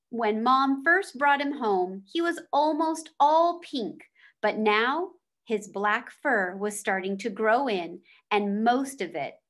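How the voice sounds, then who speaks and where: Woman, somewhat high-pitched, read-aloud narration, children's novel. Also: fairly bright tone.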